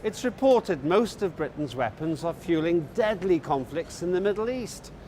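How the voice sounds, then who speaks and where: A man putting measured, pointed questions to passers-by as a reporter. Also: on the high side, lively intonation, darkish tone, slight background noise. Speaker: street interviewer.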